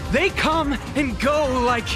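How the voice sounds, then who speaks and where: An actor playing a bitter, scornful young gang leader in a video game, speaking in a dramatic, declamatory way. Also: male voice, very high-pitched, slow pace. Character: bitter, theatrical young male gang leader with childhood trauma. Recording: game music behind the voice.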